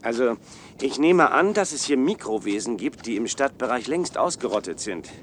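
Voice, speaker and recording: A man's voice: medium pitch, expressive, moderate pace, slight background noise, close to an everyday voice. An actor playing an awkward, earnest young male biochemistry student in a science-fiction radio drama, with hesitant, apologetic delivery. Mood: earnest, eager.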